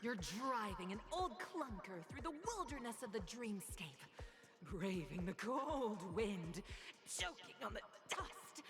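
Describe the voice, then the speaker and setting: An actress playing a gushing, theatrical drama judge who sets scenes in a video game. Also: female voice, mid-range voice, sing-song, a little slow. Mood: dramatic. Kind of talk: video game character acting. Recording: music behind the voice.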